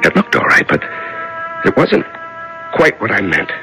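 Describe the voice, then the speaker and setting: An actor in a 1970s radio drama playing a desperate, lonely male prisoner in solitary darkness, halting and repeating himself in a gravelly voice as his sanity frays. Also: fairly low pitch, wildly swooping pitch, over music, full character voice. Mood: brooding, bewildered. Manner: halting, repeats words, talks tenderly to himself and a mouse.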